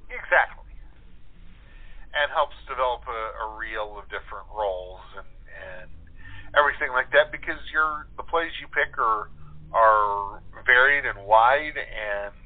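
A man's voice: mid-range voice, unhurried. A man talking casually as an interview guest, a playwright and actor. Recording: thin telephone-line sound.

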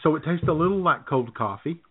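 A man co-hosting a radio comedy show in casual banter, jokey and a little halting, often repeating his phrases. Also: fairly high pitch, expressive, husky. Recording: muffled phone-like internet radio audio.

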